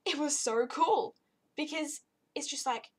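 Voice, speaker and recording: Slightly croaky, chatty young woman reviewer. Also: high voice, unhurried. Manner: chatty and easily exasperated, with frequent fillers. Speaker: game reviewer. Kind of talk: casual spoken game review.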